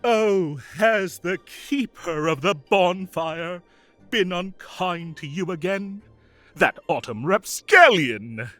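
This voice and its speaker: A man's voice: high-pitched, lively intonation, takes it slowly, fairly bright tone, dry recording, faint music behind, exaggerated voice. A man playing a whimsical fae king of summer in an audio roleplay, theatrical, playful and grand, with mock indignation and doting fondness for his cats. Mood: mock outrage.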